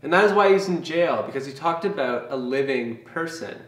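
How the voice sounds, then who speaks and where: A man's voice: a little high, animated, fairly quick, distant mic. A young man leading an informal Bible study to camera, talking earnestly and conversationally with fillers and restarts. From Canada. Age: young adult. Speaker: campus ministry leader. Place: small room with brick wall and bookshelves, indoors. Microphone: camera mic from a few feet away. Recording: echoey room, voice set back from the mic.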